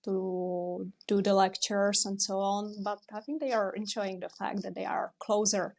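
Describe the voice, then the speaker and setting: Flat-voiced hesitant young woman. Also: medium pitch, unhurried, vocal fry. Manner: hesitant, searching for words. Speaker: documentary director. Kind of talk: interview answers.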